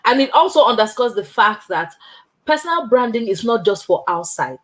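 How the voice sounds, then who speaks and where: A woman with a husky, slightly breathy voice pitched a little high, hosting a relaxed conversation about careers. She tells stories with animation, laughs often and drives her points home with emphatic tag questions. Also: moderate pace. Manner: emphatic, tags points with "right?". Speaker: host. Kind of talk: casual chat.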